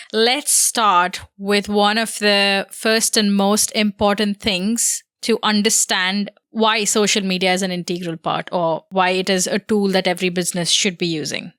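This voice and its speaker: A young woman co-hosting a podcast, her voice pitched in an ordinary middle range. She talks in an upbeat, friendly way and giggles easily between points. The recording is close and dry, with no room sound. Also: flat delivery, unhurried, fairly bright tone, clear, resonant tone, no room sound. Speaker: podcast co-host. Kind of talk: casual chat.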